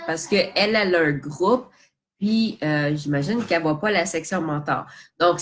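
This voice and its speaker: A woman's voice: low-pitched, a little hiss or hum. A young woman with a Québécois French accent who has a cold, chatting casually on a live stream as a social media coach, with lots of fillers, restarts and English words mixed in.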